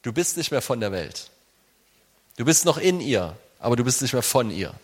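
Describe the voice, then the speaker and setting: A man preaching an informal sermon in an animated, conversational way, speaking directly to his listeners. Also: medium pitch, normal speed. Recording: reverberant hall sound.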